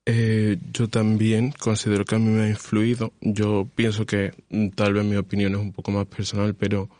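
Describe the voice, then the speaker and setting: Young man, low voice, flat delivery, dry close recording, thoughtful podcast panelist. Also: dry recording. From Spain. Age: 20s. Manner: measured, thoughtful, hesitant fillers. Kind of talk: podcast discussion.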